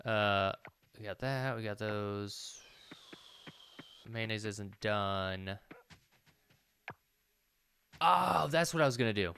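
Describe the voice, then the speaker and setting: Bright-voiced man muttering through a game. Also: fairly low pitch, slow pace, vocal fry, pauses often, smooth. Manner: muttering to himself, swears casually. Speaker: gaming video host. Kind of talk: casual let's play commentary. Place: small indoor room at home. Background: game music.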